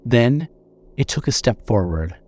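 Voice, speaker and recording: Male horror audiobook narrator, dramatic and suspenseful, with a widely moving, expressive pitch. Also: a little slow, slight background noise. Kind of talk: scripted horror fiction narration.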